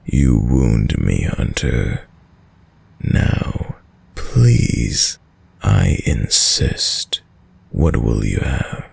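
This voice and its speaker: A man playing a suave, predatory, dominant vampire lord in an intimate audio roleplay, with a very deep, gravelly voice and a courtly, seductive, menacing manner that lingers over words. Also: animated, very slow, exaggerated voice. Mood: teasing, insistent.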